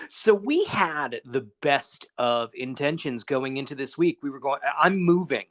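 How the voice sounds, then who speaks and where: A man's voice: medium pitch, expressive, unhurried, fairly bright tone. A man co-hosting a casual podcast over a phone call, talking in a rambling, casually profane way. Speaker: podcast co-host.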